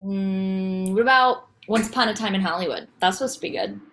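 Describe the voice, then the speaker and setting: A woman's voice: medium pitch, fairly quick, fairly bright tone, natural voice. A woman playing a wry, teasing wife and mother in a table read of a TV pilot script. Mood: low-key.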